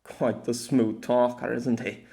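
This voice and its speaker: A man's voice: mid-range voice, flat delivery, slow, dark tone. A man voicing a scowling, sardonic bowman in a fantasy audiobook, with a sneering, knowing manner.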